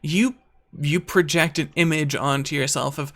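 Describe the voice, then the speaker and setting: An American man with a fairly high voice that has a gravelly, crackly edge. He talks as a guest in an easygoing chat. He is thoughtful and pokes fun at himself. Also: steady tone, moderate pace, a bit bright. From United States. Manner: thoughtful, self-deprecating. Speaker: guest YouTuber. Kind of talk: casual conversation.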